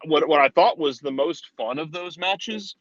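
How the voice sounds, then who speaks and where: A man's voice: a little high, normal speed, fairly bright tone, no room sound, muffled recording. A man giving casual sports analysis in an informal, conversational way, repeating words for emphasis. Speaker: soccer show commentator.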